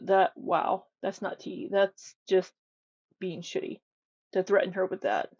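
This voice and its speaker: A woman's voice: mid-range voice, measured pace, a little mellow, no room sound. Woman commentary host, casual and opinionated delivery, mid-range voice, dry and slightly muffled recording.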